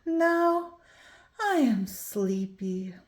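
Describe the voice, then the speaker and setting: A woman's voice: on the high side, expressive, very slow. A woman voicing a playful, hungry little female alligator in a children's storybook, sing-song and gleeful. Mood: calm.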